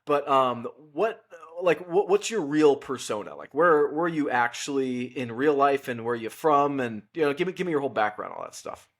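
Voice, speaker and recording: A man's voice: medium pitch, lively intonation, moderate pace, hardly any pauses. A young adult man hosting a podcast, asking questions in a friendly, chatty way and laughing easily. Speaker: podcast host. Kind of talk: casual podcast interview.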